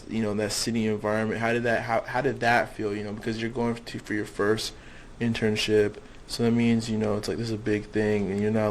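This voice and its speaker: A man's voice: fairly low pitch, a little hiss or hum. A young man interviewing a guest in a casual, rambling way, with lots of fillers. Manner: rambling questions, many fillers.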